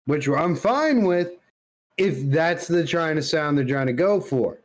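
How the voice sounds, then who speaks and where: Higher-voiced man, teasing podcast banter. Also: lively intonation, measured pace. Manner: teasing, repeats himself. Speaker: podcast co-host. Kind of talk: casual banter.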